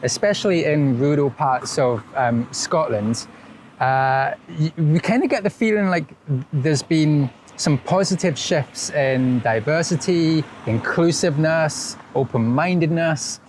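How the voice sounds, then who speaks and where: A man interviewing a guest outdoors, asking questions in a measured way with some ums. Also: fairly high pitch, a little hiss or hum.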